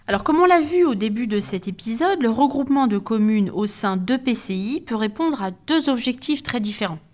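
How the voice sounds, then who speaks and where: A woman's voice: on the high side, expressive, normal speed. A woman giving a structured educational podcast explanation in a didactic, methodical manner, signposting each point.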